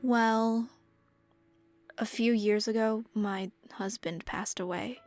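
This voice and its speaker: A woman's voice: fairly high pitch, fairly even intonation, takes it slowly, a little mellow, no echo. Woman acting a subdued widowed caregiver over music. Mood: wistful. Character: widowed middle-aged mother and live-in caregiver, gentle, resigned. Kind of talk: game dub voice acting.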